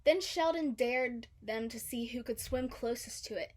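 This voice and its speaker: Higher-pitched woman reading a story aloud. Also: unhurried, a little mellow. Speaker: storybook reader. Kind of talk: scripted read-aloud narration for children.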